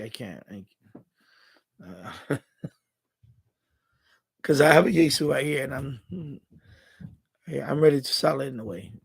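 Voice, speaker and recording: High-pitched, creaky-voiced man chatting casually. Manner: rambling, halting, thinking aloud. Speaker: live stream host. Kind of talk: casual live stream chat.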